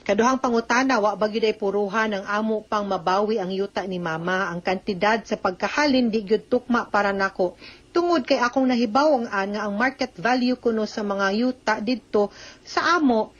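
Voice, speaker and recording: A woman's voice: mid-range voice, normal speed, muffled recording. A woman with a Cebuano Filipino accent hosts an advice program, explaining things conversationally to listeners, mixing in English terms and often checking for agreement with short tag questions. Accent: Filipino, Cebuano-speaking. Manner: mixes in English phrases and legal terms. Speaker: host giving legal advice on a radio drama letter program.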